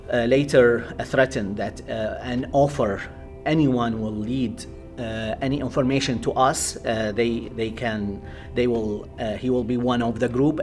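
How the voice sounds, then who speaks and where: A man with an Iraqi accent gives his testimony as a pastor over music. His voice sits at a middle pitch, and he speaks haltingly, often searching for the next word. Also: a little mellow. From Iraq. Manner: halting, hesitant, searching for words. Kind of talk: personal testimony in an interview.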